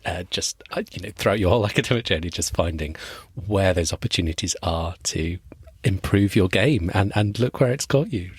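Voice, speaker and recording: Guy hosting a study podcast, husky voice, dead dry mic, keeps restarting words. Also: sits low, no room sound. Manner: encouraging, repeats words as he starts phrases. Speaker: podcast host and study coach. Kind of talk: podcast interview.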